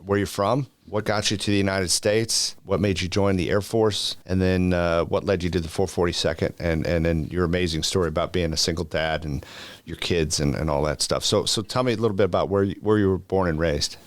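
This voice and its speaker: A middle-aged man with a very low voice hosts a casual podcast chat. He is friendly and chatty and laughs easily. The sound is dry and close. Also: steady tone, brisk, no echo. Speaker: podcast host, commander. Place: hot chapel room.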